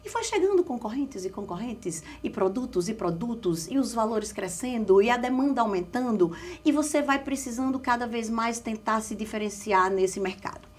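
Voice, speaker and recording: A woman with a drawling Pernambuco accent tells her own story as an interview guest. Her voice sits fairly high and swings widely in pitch as she leans on repeated phrases for emphasis. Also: expressive, moderate pace. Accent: Pernambuco Brazilian Portuguese. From Caruaru, Pernambuco, Brazil. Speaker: guest, communication specialist.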